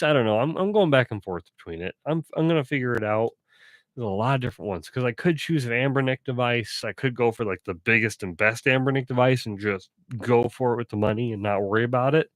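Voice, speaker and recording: A man's voice: mid-range voice, dry recording. A man streaming live in casual chat, rambling and self-correcting, a bit stuffy and sore-voiced.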